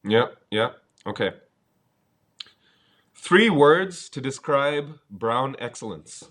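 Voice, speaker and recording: A man's voice: mid-range voice, animated, slow, fairly bright tone. Chatty male interviewer, says "bro". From Bangladesh. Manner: chatty, enthusiastic, calls guest "bro", laughs often. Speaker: interviewer, host. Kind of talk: casual interview chat.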